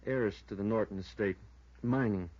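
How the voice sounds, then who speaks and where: A man's voice: fairly low pitch, a little fast, a little mellow, dry recording, natural voice. An American man playing a laconic, skeptical small-town deputy sheriff in his thirties in an old radio drama, with a folksy manner. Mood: laconic, knowing. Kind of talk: 1950s radio drama acting. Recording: dull, dry vintage radio recording.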